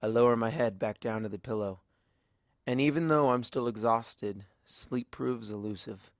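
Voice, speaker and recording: A man narrating a fanfiction story in the first person, in a measured, storytelling way. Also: mid-range voice, no room sound, studio quality. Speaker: audiobook reader.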